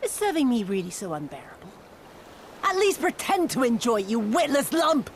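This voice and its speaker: A woman's voice: on the high side, very animated, normal speed, a little hiss or hum. A woman playing a cruel, sadistic and haughty imperial overlord in a video game, speaking with theatrical contempt and drawling menace. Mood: scornful, irritated. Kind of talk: dramatic video game voice acting. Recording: loud music behind the voice.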